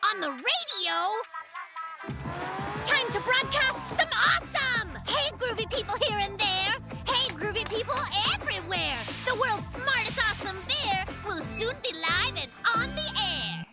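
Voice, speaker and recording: A woman voicing a cocky, excitable young male bear cub with showy announcer patter in an animated cartoon. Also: extremely high pitch, measured pace, loud music behind the voice, exaggerated voice. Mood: excited, showy.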